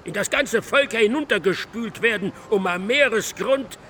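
A man's voice: high-pitched, expressive, moderate pace, bright, forceful tone, a little hiss or hum. An actor in a German radio drama playing a distraught, guilt-ridden man, brooding and declamatory, who repeats himself in agitation. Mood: disgusted, anguished. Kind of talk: radio drama acting, literary monologue.

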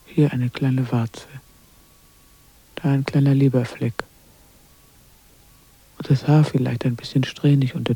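Brooding witchy inner monologue, radio play, breathy dark voice, poetic and tormented. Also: mid-range voice, full character voice, poor audio.

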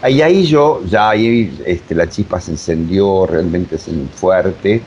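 An older man with an Argentine Spanish accent answers interview questions conversationally, in a halting, digressive way, often repeating himself. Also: darkish tone. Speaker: interviewed theater director and voice teacher. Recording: dull sound with faint rumbling noise.

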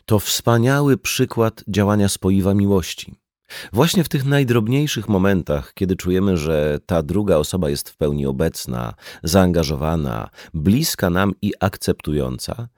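A man reading an audiobook as scripted narration, in a measured, explanatory manner. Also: low voice, expressive, a little mellow, no echo, clean studio sound. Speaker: audiobook reader.

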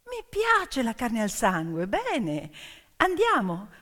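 A curious, cunning little girl from a fairy tale, acted out by a woman, teasing and blunt. Her voice is high and animated, set in a long reverb. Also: takes it slowly, exaggerated voice. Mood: curious, game. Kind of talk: theatrical storytelling dialogue.

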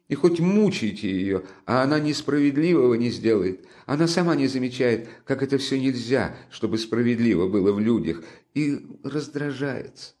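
A man's voice: mid-range voice, a little mellow, pitched above the performer's own voice, natural voice. Man voicing a timid, pleading young woman. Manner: pleading, anxious, breathless outbursts. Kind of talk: audiobook character reading.

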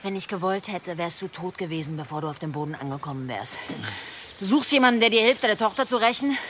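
A woman's voice: lowish voice, lively intonation, fast talker, natural voice. An actress playing a bold, defiant village woman with a sword, blunt and confident, in a historical radio drama. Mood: proud, challenging. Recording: loud noise of outdoor action scene.

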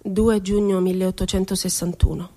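A woman's voice: mid-range voice, flat delivery, moderate pace, dark tone, lightly acted. An actress playing an ironic, wounded woman poet confined in an asylum, telling her story expressively in a radio theatre monologue. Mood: hushed, bitter irony.

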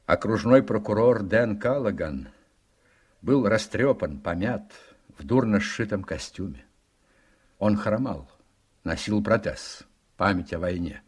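An actor narrating a political radio drama in an expressive storytelling manner with measured phrasing. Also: male voice, lowish voice, slightly rough. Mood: descriptive.

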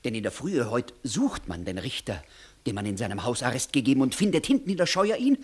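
A sly, husky, very animated court clerk. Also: male voice, mid-range voice, fairly quick. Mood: ominous reporting. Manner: pointed, mocking, animated declamation. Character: sly, needling court clerk, officious and ambitious. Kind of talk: 1960s German radio play acting of classic verse comedy.

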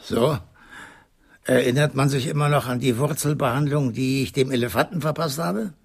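An actor playing a gruff, self-assured veterinary dentist in a radio play, speaking dryly and deliberately with a gravelly, husky voice. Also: male voice, mid-range voice. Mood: wry, inquiring. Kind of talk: 1990s German radio play acting.

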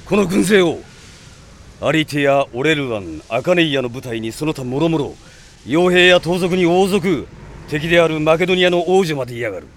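An actor playing a blunt, gruff male mercenary with a rough, confrontational way of talking in a radio drama. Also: on the high side, expressive, normal speed. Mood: stern.

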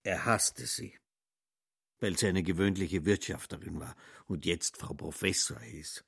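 A man narrates a literary radio play in a very deep voice. It is husky and full of gravelly fry, recorded dry and close. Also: a little slow, fairly bright tone, no echo.

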